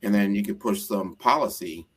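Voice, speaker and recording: A man's voice: lowish voice, normal speed, a little hiss or hum. An American man hosting a casual interview, talking earnestly and rambling with frequent restarts. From United States.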